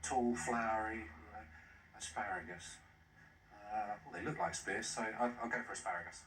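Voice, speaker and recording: A man's voice: on the low side, normal speed, a bit bright. A man in his forties, a quiz contestant who muses aloud as he reasons out his answers. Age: 40s. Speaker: quiz contestant, team captain. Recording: echoey studio, distant mic, background noise.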